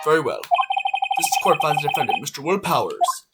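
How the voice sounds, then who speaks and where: Stern elderly male judge for a game dub, played by a woman: lowered voice, formal, measured delivery, loud music underneath. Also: very animated. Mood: solemn. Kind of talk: amateur game fandub acting.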